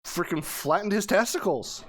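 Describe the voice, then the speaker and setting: A man's voice: high voice, animated, normal speed, a bit bright. A man hosting a casual game session with friends, joking and teasing in crude banter. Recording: game music under the voice. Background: video game music.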